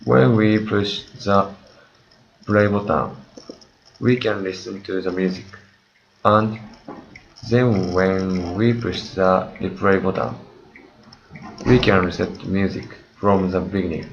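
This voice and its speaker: A dull recording carries a male student presenter with a low, creaky voice. He reads out his project extremely slowly, phrase by broken phrase, in non-native English. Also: fairly even intonation. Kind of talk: prepared presentation of a software project. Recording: dull, lo-fi recording with faint noise.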